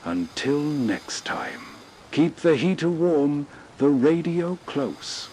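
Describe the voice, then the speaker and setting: A man narrating as host in a scripted, unhurried late-night radio style. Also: on the high side, big pitch swings, warm tone. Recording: faint background noise.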